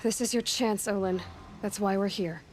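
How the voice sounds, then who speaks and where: A woman plays a young huntress from a video game, frank and determined, with a dry wit and a compassionate streak. Her voice sits at a middle pitch, with some background noise behind it. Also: fairly even intonation, moderate pace, lightly acted. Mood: firm, reassuring.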